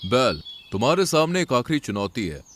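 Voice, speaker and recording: Cartoon lion, played by a man: authoritative jungle ruler, stern and wise, mid-pitched, expressive. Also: moderate pace, slight background noise. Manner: authoritative, admonishing. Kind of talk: Hindi animal fable cartoon dialogue.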